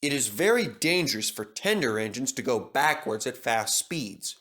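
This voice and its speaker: Expressive man narrating a children's story. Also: medium pitch, normal speed. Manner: expressive, dramatic reading.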